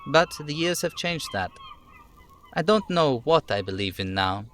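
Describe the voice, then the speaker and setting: A man voicing a reserved, dutiful police lieutenant from a game, speaking in a measured, formal way. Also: on the high side, very animated, a bit bright, a little hiss or hum, pitched above the performer's own voice. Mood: reflective, earnest. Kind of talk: dramatic reading of game dialogue.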